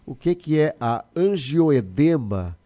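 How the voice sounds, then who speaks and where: A man's voice: on the high side, sing-song, slow, warm tone. A man hosting a live news interview on the radio.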